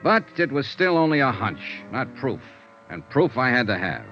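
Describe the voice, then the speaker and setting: A man's voice: mid-range voice, sing-song, normal speed. An American actor playing a tough, shrewd male investigator in a 1950s radio noir serial, with clipped first-person delivery. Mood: brisk, confident. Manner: clipped, hard-boiled delivery. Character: tough, shrewd male freelance insurance investigator, hard-boiled. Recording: muffled vintage radio recording, orchestral music.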